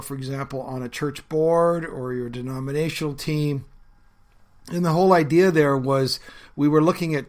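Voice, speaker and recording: A man with a bad cold talks through his podcast, his voice sitting a bit lower and rougher than usual. He speaks earnestly and conversationally, pausing on fillers as he thinks aloud. His pitch still sits a little high for a man. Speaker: podcast host and pastor. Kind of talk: reflective solo podcast talk.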